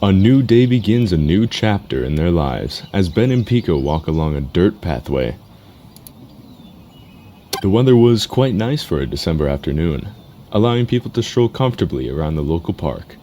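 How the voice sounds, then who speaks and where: A man playing a calm storybook narrator, reading scripted scene-setting for a game cutscene. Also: deep voice, moderate pace. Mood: measured. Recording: faint background noise and ambience.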